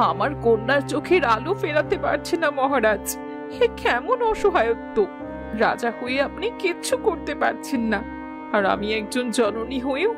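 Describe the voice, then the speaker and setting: A woman acting an anguished, high-voiced cartoon queen. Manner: plaintive, formal. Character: anguished fairy-tale queen, pleading, heartbroken mother. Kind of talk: melodramatic cartoon dialogue. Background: background music.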